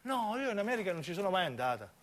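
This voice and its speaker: A man's voice: sits high, very animated, fast, a bit bright. A man playing a nosy, talkative old woman in a radio play, homespun and prodding, with a southern Italian accent. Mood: chatty. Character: chatty, nosy, homely old Sicilian woman.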